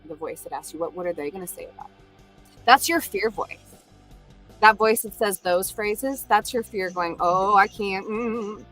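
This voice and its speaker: Animated woman acting out doubting inner voices. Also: mid-range voice, a little slow, soft background music, rough recording. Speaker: speaker sharing a self-talk exercise. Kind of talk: casual motivational talk.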